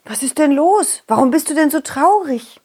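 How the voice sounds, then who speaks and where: A woman voicing a confident, loyal dog who tells an adventure to children in an expressive, chatty way. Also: high voice, moderate pace, pitched above the performer's own voice. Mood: helpful. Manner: animated, expressive, addresses children directly. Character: confident, loyal, friendly talking dog telling his adventure. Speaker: puppeteer storyteller. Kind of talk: children's audio story, narrating to kids.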